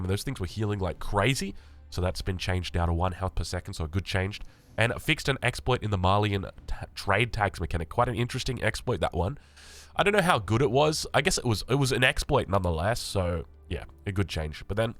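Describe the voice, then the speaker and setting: A young Australian man with a raspy voice, sick and just woken up, chatting casually as he reads through game patch notes. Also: on the low side, fairly bright tone. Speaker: gaming channel host.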